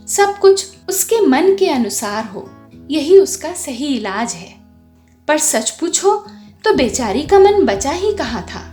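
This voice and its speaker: A woman narrating a literary short story aloud in an expressive, measured storytelling manner. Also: high voice. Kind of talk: scripted literary narration, audiobook-like.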